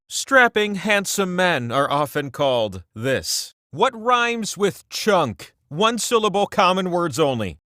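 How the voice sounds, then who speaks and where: A man voicing a whimsical, all-knowing talking wheel that hosts a trivia video game, theatrical and playful, with drawn-out emphasis. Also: high-pitched, fairly bright tone, no room sound, clean studio sound, full character voice. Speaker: game show host. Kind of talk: scripted trivia game hosting.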